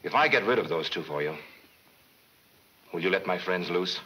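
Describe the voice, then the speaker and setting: An actor playing a hard-nosed, cool-headed renegade police detective, terse and firm, in 1940s film serial style on an old soundtrack. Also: male voice, low-pitched, animated, normal speed, sharp tone, slight background noise, poor audio. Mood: coolly persuasive.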